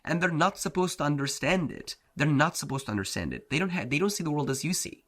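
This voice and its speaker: A man's voice: a little high, animated, quick pace, a bit bright. A man whose first language is Turkish, a self-improvement coach giving a blunt, slangy motivational talk, often saying "bro" and "like". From Turkey.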